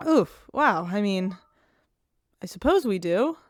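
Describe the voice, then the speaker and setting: An actress playing a gentle, folksy woman who looks after the animals in an audio drama. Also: mid-range voice, sing-song, slow, no echo, clean studio sound. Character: kind, easygoing woman animal keeper.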